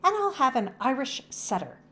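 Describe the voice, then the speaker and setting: Woman voicing a bold, bright-spirited storybook girl, higher-pitched. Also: very animated, moderate pace, full character voice. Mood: dreamy, excited. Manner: bold, professional, theatrical. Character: confident, outgoing, dreamy young girl.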